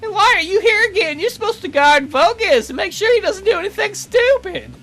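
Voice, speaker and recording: A man's voice: very high voice, expressive, brisk, pushed and bright, faint music behind, voice pushed up, exaggerated voice. A man voicing a prim, devoted bird servant who speaks in a formal, fawning and fussy way for a visual novel. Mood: indignant. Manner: formal, fawning and easily indignant. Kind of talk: visual novel character voice-over.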